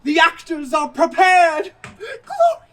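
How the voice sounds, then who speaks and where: A man's voice: very high-pitched, sing-song, slow pace, fairly bright tone, heavily acted. A man playing a pompous, scornful radio director with a grandiose ego in a theatrical community stage performance. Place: outdoor stage in a parking lot. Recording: distant mic with noisy outdoor ambience.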